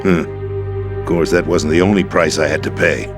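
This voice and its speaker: Deep, bitter American president. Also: male voice, fairly even intonation, normal speed. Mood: resigned, bitter. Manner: theatrical, emphatic, declamatory. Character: disillusioned American president, bitter, world-weary conspirator. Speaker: US president in a video game. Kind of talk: dramatic video game voice acting. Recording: game soundtrack music underneath.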